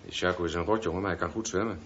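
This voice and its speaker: Slightly hissy, dull radio drama sound carries a deep male voice. a down-to-earth pool supervisor, fatherly and plain-spoken. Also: racing, fairly bright tone. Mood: concerned. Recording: dull, faintly noisy radio play recording.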